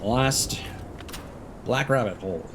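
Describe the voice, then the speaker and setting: A man's voice: medium pitch, takes it slowly, background noise. A man livestreaming a video game, chatting informally with viewers in a laid-back, friendly way. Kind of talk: casual let's play commentary, chatting with viewers.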